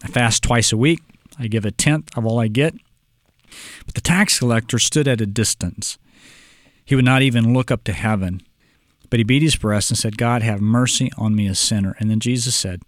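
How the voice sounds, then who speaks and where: A man from Oklahoma hosting a Bible study discussion, speaking in a measured way and reading scripture aloud. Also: lowish voice, no room sound, clean studio sound. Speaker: podcast host, Bible teacher.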